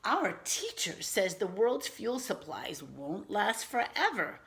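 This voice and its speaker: A woman reading a picture book aloud to children as a teacher, expressively and patiently, pausing to explain and ask questions. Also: medium pitch, sing-song, vocal fry, a bit bright. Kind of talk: animated read-aloud with simple explanatory asides.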